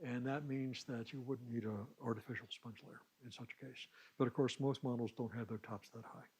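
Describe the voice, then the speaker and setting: A man lecturing at a science summer school, with a middle-pitched voice. He explains technical material step by step, pausing as he searches for words and dropping in informal asides. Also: steady tone, a little mellow, husky.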